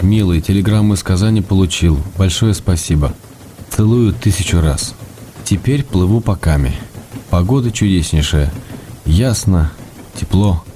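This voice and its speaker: An actor playing an affectionate, playful middle-aged husband, a writer reading his letters in an intimate, measured way for a radio drama. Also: low-pitched, a little hiss or hum, close to an everyday voice. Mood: cheerful, caring. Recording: loud music behind the voice.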